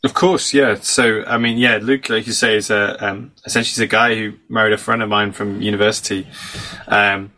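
A man's voice: fairly low pitch, flat delivery, fairly quick, bright, forceful tone. A man from the United Kingdom, a psychology professor and author, telling stories fluently as a guest in an interview, slightly losing his voice from a cold. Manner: fluent storytelling, explanatory. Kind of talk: conversational podcast interview.

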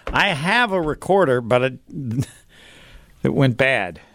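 A man's voice: medium pitch, lively intonation, slow pace, a bit bright, no echo, clean studio sound. A man co-hosting a sports radio show in casual chat, rambling and cutting himself off mid-thought. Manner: rambling, self-interrupting, chatty.

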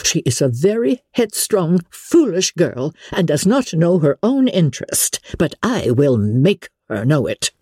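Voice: medium pitch, sing-song, a little slow, few pauses, mellow, breathy edge, no echo, clean studio sound. A reader voicing a flustered, fretful middle-aged mother in an agitated, emphatic way, as in an audiobook. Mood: indignant, alarmed.

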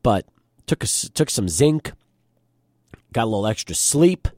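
A man hosting a studio sports talk show, his voice a little hoarse and deeper than usual, chatting and asking questions. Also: measured pace, dry recording. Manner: conversational, lively questioning. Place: radio studio.

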